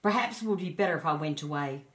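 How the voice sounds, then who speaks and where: A woman voicing a gentle, lonely young male storybook dragon with an earnest, polite manner. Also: sits low, lively intonation, fast talker. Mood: downcast.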